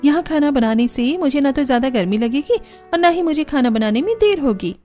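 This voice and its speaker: A woman's voice: sits high, expressive, a little fast, mellow, soft background music. A woman voicing an earnest, put-upon young daughter-in-law in a cartoon family drama, polite and plaintive. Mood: bright, eager.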